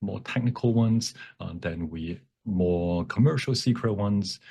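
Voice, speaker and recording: A man giving an informal workshop presentation with a demonstration, explaining in a halting way and often correcting himself. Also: lowish voice, vocal fry, mellow, husky. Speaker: workshop presenter and font developer.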